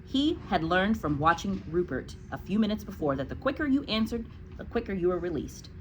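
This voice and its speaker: A woman's voice: medium pitch, sing-song, fairly quick, slight background noise, poor audio. A woman teacher reading a storybook aloud to a class, with explanatory asides.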